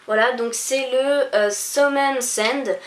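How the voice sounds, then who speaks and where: Young woman, casual beauty chat, halting, fairly high voice, roomy echo. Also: a little hiss or hum. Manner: hesitant, many fillers and restarts. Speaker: beauty vlogger. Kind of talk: casual haul video. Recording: echoey room.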